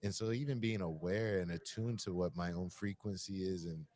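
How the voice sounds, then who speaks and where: A man with a deep voice, musing at length in a reflective conversation. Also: moderate pace, darkish tone. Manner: musing, searching, repeats phrases.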